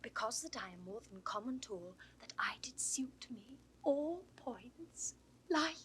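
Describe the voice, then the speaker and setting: Passionate young noblewoman, British accent, high pitch, animated intonation, eloquent, theatrical, 1960s audio drama. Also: unhurried, slight background noise. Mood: playful bravado. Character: proud, passionate young noblewoman, the banished niece.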